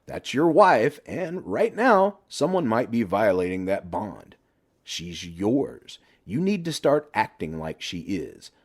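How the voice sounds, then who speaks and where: A man's voice: lowish voice, sing-song, slow pace, heavily acted. A man voicing a brash middle-aged best friend who lectures and needles bluntly in an audiobook. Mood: urging. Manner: blunt, emphatic, sweary banter.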